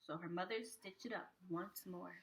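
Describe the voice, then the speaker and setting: A woman reading a picture book aloud to young children like a preschool teacher, with expressive, animated phrasing. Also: on the low side, moderate pace, poor audio.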